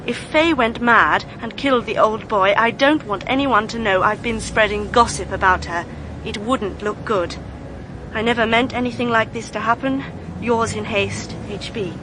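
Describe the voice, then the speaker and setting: A high, clipped British female voice over clearly noisy street ambience. An actress plays an earnest young woman in a radio drama, indignant and emphatic as she confides old secrets. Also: normal speed, lightly acted. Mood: subdued, reading. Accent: British English.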